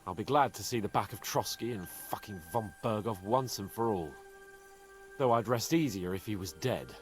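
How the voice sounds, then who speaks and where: An actor playing a boastful, weary young nobleman in a game, with an animated, theatrical delivery. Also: mid-range voice. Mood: bitter. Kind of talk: game voice acting. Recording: game audio under faint music.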